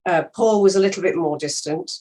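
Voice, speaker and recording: A woman's voice: on the low side, brisk, a little mellow. An older woman, an actor chatting casually and reflectively in an interview.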